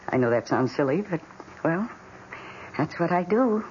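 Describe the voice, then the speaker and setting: An actress playing a timid, lonely housewife in a 1970s radio drama, speaking hesitantly and apologetically, with pleading feeling. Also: female voice, fairly low pitch, animated, takes it slowly. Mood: shy, hesitant. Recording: dull, noisy 1970s radio recording.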